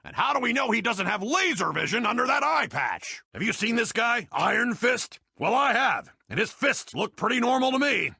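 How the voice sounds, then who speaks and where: A blustering middle-aged male newspaper boss, delivers a pompous video game broadcast tirade. His voice is sharp and forceful with a gravelly creak. It swoops dramatically as he hammers each point. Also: very high-pitched, big pitch swings, normal speed, hardly any pauses, no echo, studio quality, exaggerated voice. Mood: indignant, sarcastic. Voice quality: gravelly, raspy. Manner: pompous, emphatic, bombastic delivery. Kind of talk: theatrical broadcast rant, video game voice acting.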